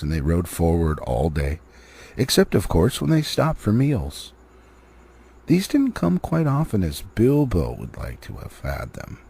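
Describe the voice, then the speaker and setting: Male storybook narrator, theatrical delivery with a husky edge and very animated intonation. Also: on the low side. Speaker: amateur storybook reader. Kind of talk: expressive audiobook reading of a fantasy novel.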